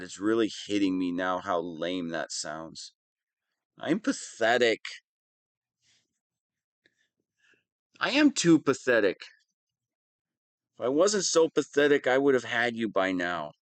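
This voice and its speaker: A man playing a whiny, hapless, soft-hearted wannabe super-villain in a scripted audio roleplay, self-pitying and prone to dramatic swings. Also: fairly low pitch, dry recording. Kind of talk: scripted one-sided audio roleplay monologue.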